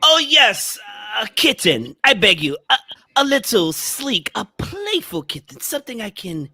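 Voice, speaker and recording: Someone acting a wheedling, manic asylum lunatic, sharp-edged voice. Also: mid-range voice, very animated, measured pace, husky, heavily acted. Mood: hungry pleading. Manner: theatrical, wheedling, chuckling. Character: deranged asylum patient craving living creatures, wheedling and manic. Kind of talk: amateur script read-through of a gothic horror play.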